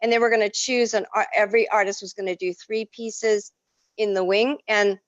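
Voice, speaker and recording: A woman's voice: medium pitch, normal speed, dry recording. Effusive woman, French native, dry muffled sound. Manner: effusive, expressive storyteller. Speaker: artist and art teacher, interview guest. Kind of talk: casual interview.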